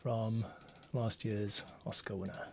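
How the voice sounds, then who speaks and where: A man giving a casual screencast tutorial, thinking aloud and muttering to himself with pauses as he works. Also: on the low side, little pitch movement, creaky. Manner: muttering to himself, pausing while working. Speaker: composer, streamer host. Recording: faint noise, keyboard and mouse clicks. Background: typing, mouse clicks.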